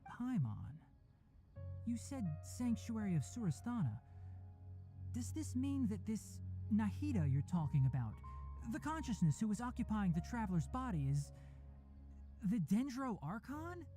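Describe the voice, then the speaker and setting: An actor voicing a calm young male forest ranger and scholar with fox ears in a game, speaking in a measured, composed way. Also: sits high. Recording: game audio with faint music.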